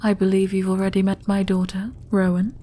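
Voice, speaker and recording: Woman acting a mellow, composed fantasy queen. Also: mid-range voice, level tone, lightly acted. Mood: regal, composed. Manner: regal, measured, formal. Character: gracious, shrewd, composed fantasy queen and mother. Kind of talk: fantasy audio roleplay acting.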